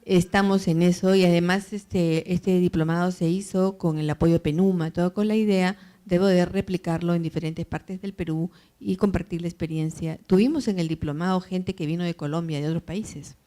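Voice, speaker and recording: A woman's voice: lowish voice, steady tone, moderate pace, hardly any pauses, dark tone. A woman answering questions on a panel, hesitant, with many fillers and tag questions. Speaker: panelist, university program organizer.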